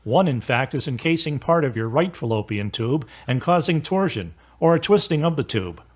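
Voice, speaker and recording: A man's voice: fairly high pitch, expressive, moderate pace. A man playing a considerate doctor who explains things clinically in an amateur audio drama.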